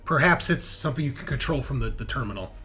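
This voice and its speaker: A man runs a tabletop roleplaying game as its game master. His voice is bright and forceful, and he moves between improvised narration and conversational rules talk. Soft music plays underneath. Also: medium pitch, expressive, quick pace. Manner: explains rules conversationally to players. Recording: music playing behind the voice.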